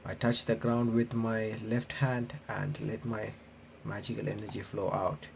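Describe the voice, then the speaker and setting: A man reading visual novel text aloud haltingly, with casual reaction commentary. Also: fairly low pitch, monotone, vocal fry, a little mellow, a little hiss or hum. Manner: halting read-aloud, stumbling and rereading phrases. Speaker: let's-play video creator reading a visual novel aloud. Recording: game soundtrack playing behind the voice. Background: visual novel music.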